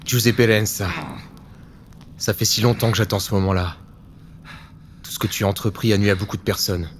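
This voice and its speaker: A man's voice: on the low side, fairly even intonation, moderate pace, a little hiss or hum, lightly acted. A man playing a grave, resolute and vengeful secret agent on his last mission in a French audio drama. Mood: cold, vengeful. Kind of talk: French audio fiction acting.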